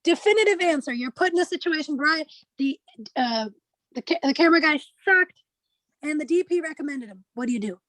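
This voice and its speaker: A woman's voice: sits high, animated, brisk, fairly bright tone, no echo, low-quality recording. A woman in a casual group discussion, speaking in a direct, insistent way. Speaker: media studies student.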